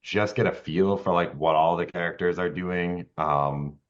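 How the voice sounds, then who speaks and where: A man chatting casually as a podcast co-host, giving game feedback in a halting, rambling, self-correcting way. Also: low voice, steady tone, dull audio. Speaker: podcast co-host and tabletop player.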